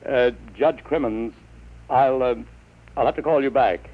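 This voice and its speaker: An actor playing an anxious, determined middle-aged father in old radio drama style, halting and urgent. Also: mid-range voice, lightly acted. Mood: grave, resolute. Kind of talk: 1950s radio drama acting. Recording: dull vintage radio drama recording.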